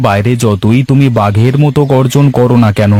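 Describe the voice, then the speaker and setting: A man voicing village farmers in a cartoon fairy tale, colloquial and expressive. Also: mid-range voice, moderate pace, lightly acted. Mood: exasperated. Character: male villagers: plain farmers, husband, scheming rival.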